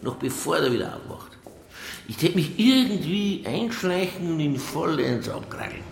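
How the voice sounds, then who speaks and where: An actor playing a stubborn, world-weary veteran homicide detective in a radio play, with a gravelly voice, a Bavarian accent and a laconic manner. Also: male voice, a little high, expressive, normal speed, roomy sound, slight background noise. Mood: gruff, insistent. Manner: laconic, dry, unbothered. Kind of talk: radio drama acting.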